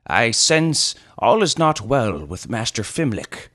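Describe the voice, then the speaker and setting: Man playing a grave old lord, pitched up. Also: big pitch swings, slow pace, a bit bright, no echo, studio quality, exaggerated voice. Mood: solemn. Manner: formal, earnest, mock-noble. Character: grave wise old leader, lordly and oratorical.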